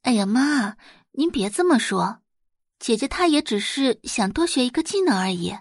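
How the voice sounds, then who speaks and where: A woman's voice: on the high side, expressive, normal speed, husky, no echo, clean studio sound, voice pushed up. A woman voicing a poised young heiress and doctor who talks sweetly and confidently in an audio drama.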